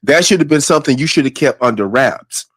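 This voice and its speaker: A man's voice: medium pitch, expressive, fairly quick, dry recording, clean studio sound. A man hosting a livestream, delivering a heated, profane rant that accuses and addresses his opponents directly. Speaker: livestream host.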